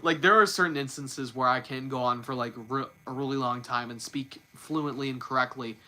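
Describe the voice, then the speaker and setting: A man chatting loosely to his livestream in a bright, forward voice, his pitch sitting right in the middle. He trips over his words and starts sentences again and again, repeating himself as he goes. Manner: stumbles and repeats words, quick restarts. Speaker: livestream host. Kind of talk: casual livestream chat.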